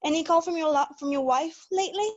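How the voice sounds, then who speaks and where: A woman's voice: extremely high pitch, fast talker, dry recording, muffled recording. A woman reading the part of a pushy, needling newspaper editor in his late fifties at an amateur script read. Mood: menacing, needling.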